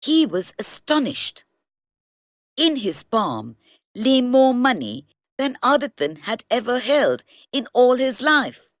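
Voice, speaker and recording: A woman reads a children's story aloud as narrator. Her delivery is slow and deliberate, with extremely animated pitch, and the recording is close and dry. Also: dry recording.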